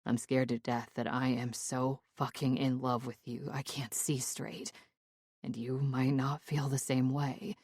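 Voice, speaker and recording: A woman voicing a remorseful young man in love, speaking low and gravelly in a pleading, apologetic way. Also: little pitch movement, measured pace, darkish tone, no echo, full character voice. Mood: pleading tender. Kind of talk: audiobook character voice.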